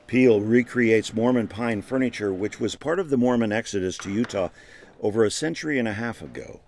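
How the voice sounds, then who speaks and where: A man's voice: on the low side, expressive, a little fast, darkish tone, slight background noise. A man giving scripted narration for a regional documentary program. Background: music.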